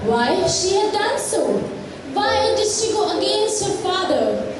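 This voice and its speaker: A woman's voice: extremely high pitch, big pitch swings, takes it slowly, noisy background. A woman narrating a school play from a script on stage. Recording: echoey hall, distant, music behind. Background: music.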